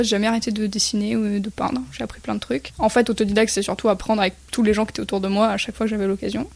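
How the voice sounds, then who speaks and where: A woman from Spain speaks as a guest artist in a radio interview, her voice fairly high. She answers thoughtfully, stopping with fillers as she searches for words. The recording is dry and close. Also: darkish tone, dry recording.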